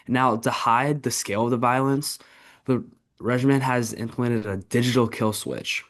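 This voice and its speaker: Teen boy reading a prepared report. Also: mid-range voice. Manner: emphatic, repeats key points. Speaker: student podcast co-host.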